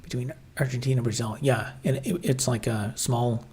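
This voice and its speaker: Casual streamer, male, husky mid-pitched voice, hesitant and rambling, answers chat between thoughts. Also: steady tone, normal speed, darkish tone. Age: adult, grew up watching 1990s TV. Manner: hesitant, many fillers and restarts, musing. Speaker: livestream host reading chat.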